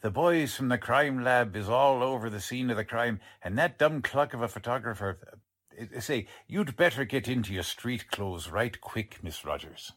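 Guy doing a gruff old-time radio cop, blunt and folksy, broken grammar, voice swinging up and down a lot. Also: mid-range voice, animated, moderate pace, a bit bright. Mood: explaining. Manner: folksy, ungrammatical street talk. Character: blunt, plodding middle-aged male police sergeant. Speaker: police sergeant. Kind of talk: 1940s radio drama acting.

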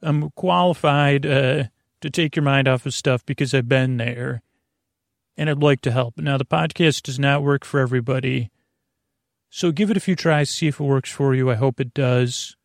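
Creaky gravelly guy rambling on his podcast, keeps losing his thread, really dry close sound. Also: mid-range voice, no echo. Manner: meandering, halting, trails off mid-thought. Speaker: podcast host. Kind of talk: rambling, casual bedtime podcast monologue.

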